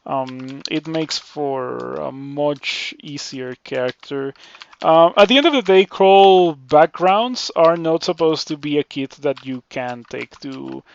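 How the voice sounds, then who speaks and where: Mexican man with a gravelly voice. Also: a little high, highs cut off, a little hiss or hum. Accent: Mexican Spanish. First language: Spanish. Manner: measured, filler-heavy thinking aloud. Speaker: game streamer. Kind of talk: live gameplay commentary.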